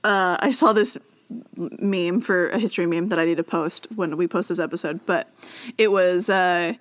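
A woman's voice: lowish voice, normal speed, no room sound, studio quality. A young woman co-hosting a comedy podcast in a casual, playful, giggly and informal way. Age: young adult. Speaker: podcast co-host.

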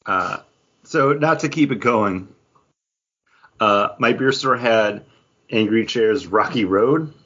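Husky low-voiced guy, rambling casually. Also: sharp tone, muffled recording. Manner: rambling, halting, peppered with "like". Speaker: podcast host. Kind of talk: casual chat.

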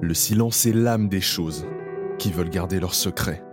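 A man reciting a poem slowly and solemnly. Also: deep voice. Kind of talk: poetry recitation. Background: piano music.

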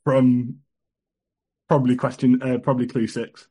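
A man's voice: medium pitch, level tone, moderate pace, dark tone, breathy edge. A man taking part in a casual podcast quiz, restarting his sentences before answering. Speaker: quiz contestant.

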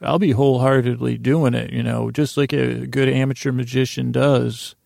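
Low gravelly guy rambling sleepy and slow, lots of uhs and restarts, dry close sound. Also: fairly even intonation, a little mellow, no echo. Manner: meandering, halting, with restarts and filler words; slow drowsy lulling delivery. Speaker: podcast host. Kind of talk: rambling bedtime monologue.

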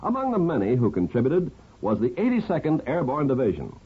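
A man with an American accent reading scripted narration as a 1950s radio host, in a husky voice. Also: medium pitch, huge pitch swings, a little fast. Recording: tinny 1950s radio broadcast.

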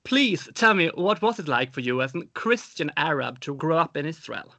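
A man's voice: fairly high pitch, sing-song, normal speed, sharp tone, no echo. A man interviewing a guest, asking questions politely. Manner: polite, inquiring.

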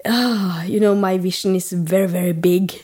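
A woman hosting a podcast, chatting casually and offering a steady stream of encouraging short replies. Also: lowish voice, moderate pace, slightly rough. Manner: encouraging, many short backchannels. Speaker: podcast host. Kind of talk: casual conversation.

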